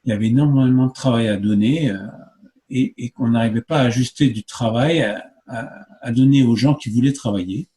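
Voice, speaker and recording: A man's voice: mid-range voice, fairly quick, dark tone. Hesitant French-born man explaining earnestly. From France. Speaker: guest, movement spokesman. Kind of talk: conversational interview answers.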